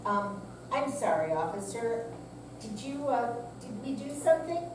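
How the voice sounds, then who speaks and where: A woman's voice: on the high side, very animated, measured pace. An older woman playing an anxious, long-suffering, nagging elderly wife in a staged reading, fretful and hesitant. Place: senior center hall, live performance. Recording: echoey room, distant mic, loud noise.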